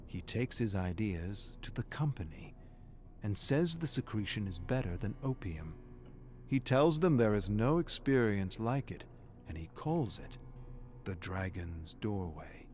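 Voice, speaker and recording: Ominous horror game narrator, lowish pitch, grave, deliberate, dramatic, music underneath. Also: male voice, very animated, a little mellow. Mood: grim, mysterious. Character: brooding, ominous male narrator of horror lore. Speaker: narrator of game lore readings.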